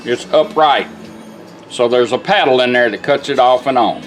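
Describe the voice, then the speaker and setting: A man's voice: mid-range voice, normal speed. Folksy man demonstrating over music. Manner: folksy, plain-spoken, instructional. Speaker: radio restorer demonstrating a product. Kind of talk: casual hands-on product demonstration. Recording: music playing behind the voice. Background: music from a radio.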